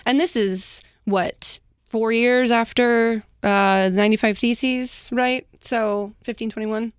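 Female storyteller host, slightly high voice, casual and slangy, very dry recording. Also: fairly even intonation, slow pace, clear, resonant tone, no room sound. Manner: casual, slangy, chatty asides. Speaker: host telling a history story. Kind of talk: informal storytelling among co-hosts.

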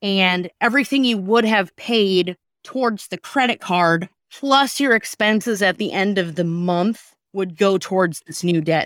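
A woman's voice: on the low side, no room sound. Chatty woman podcast host, dry close sound. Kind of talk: casual, chatty explaining.

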